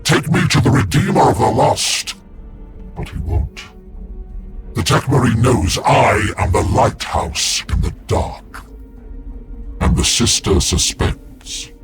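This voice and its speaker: Slow, haunted psychic warrior. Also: male voice, medium pitch, lively intonation. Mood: brooding, tormented. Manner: slow, halting, cryptic, portentous. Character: haunted, visionary psychic space marine, tormented and cryptic. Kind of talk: dramatic game voice acting. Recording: loud music behind.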